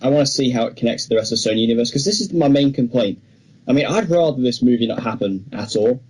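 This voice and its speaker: A man's voice: mid-range voice, animated, fast talker, darkish tone, breathy edge, poor audio. A young man co-hosting a film podcast, talking casually and giving his opinions freely. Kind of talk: casual discussion of film news.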